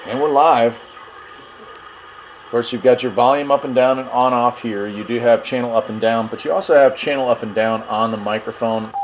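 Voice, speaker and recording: A man's voice: mid-range voice, fairly even intonation, normal speed, background noise. A man hosting a casual hands-on product review, talking in a laid-back, folksy way. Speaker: host of a radio gear review channel.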